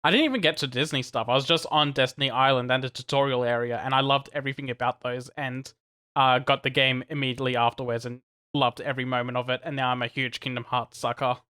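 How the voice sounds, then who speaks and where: A man co-hosting a gaming podcast, lively and talkative, with a bright, forceful tone at a middling pitch. He restarts his sentences often and laughs between his points. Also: quick pace, clear, resonant tone. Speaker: podcast co-host. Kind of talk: casual podcast chat.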